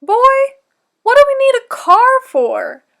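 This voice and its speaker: An upbeat, wise storybook grandmother, played by a woman reading aloud. Her voice is high and her delivery emphatic, encouraging whoever she is talking to. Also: sing-song, slow, gravelly, fairly bright tone, exaggerated voice.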